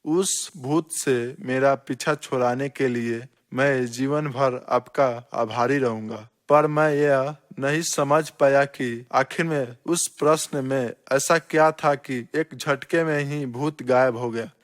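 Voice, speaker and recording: Puzzled husband for a moral story male actor, very slow, questioning delivery, medium pitch. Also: fairly even intonation, natural voice. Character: puzzled, grateful adult husband seeking answers. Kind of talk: scripted Hindi moral story acting.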